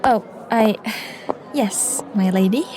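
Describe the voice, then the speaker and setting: A woman's voice: mid-range voice, slow, natural voice. Woman acting polite, guarded woman amid music. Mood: flustered, apologetic. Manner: polite, formal, hesitant. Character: courteous, guarded young woman posing as company representative. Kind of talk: audio drama acting. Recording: music and crowd murmur behind.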